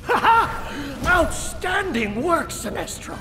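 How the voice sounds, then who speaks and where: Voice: high voice, very animated, takes it slowly, in a large hall, music in the background, full character voice, poor audio. A performer voicing a gleeful, effusive villain ally in a video game. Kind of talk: video game cutscene acting.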